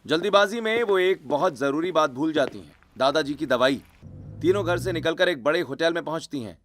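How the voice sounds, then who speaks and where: A man's voice: on the high side, expressive, fairly quick, soft background music. A man narrating a scripted cartoon story.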